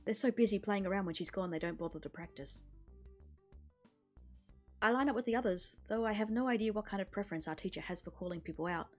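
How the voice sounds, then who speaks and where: A woman streamer reading a visual novel aloud in an expressive storytelling manner. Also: mid-range voice, quick pace. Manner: expressive storytelling with character voices. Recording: music behind the voice. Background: music.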